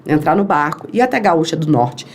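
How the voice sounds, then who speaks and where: A woman's voice: sits low, fairly quick. A woman from Brazil telling a long story as a podcast guest, acting out the voices in it. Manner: animated, acts out quoted dialogue. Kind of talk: storytelling in an interview.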